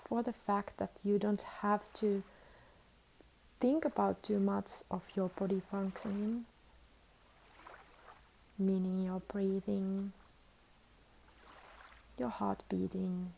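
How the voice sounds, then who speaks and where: A woman teaches a guided meditation in a mellow, relaxed voice. She speaks very evenly and unhurriedly, leaving long pauses between soothing instructions. A faint layer of background noise sits behind her. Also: medium pitch, vocal fry, low-quality recording.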